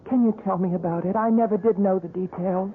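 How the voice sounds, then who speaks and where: A woman's voice: mid-range voice, fairly even intonation, fast, lightly acted. Actress as a curious woman listener, radio play; mid voice, lo-fi broadcast with faint hiss. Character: eager woman who knew the young man. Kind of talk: 1970s radio drama acting.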